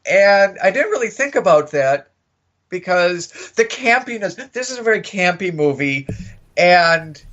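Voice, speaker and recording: On slightly dull, lo-fi audio, a man with a high voice chats as a podcast co-host. His delivery is conversational and a bit tentative, circling back over phrases in a casual review. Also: big pitch swings, a little slow, a bit bright. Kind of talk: casual film review chat.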